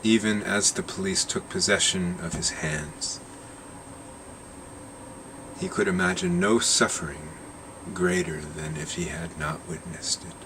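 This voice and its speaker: A man reading a science fiction short story aloud in a measured, deliberate way. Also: deep voice, noisy background. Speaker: narrator reading his own short story.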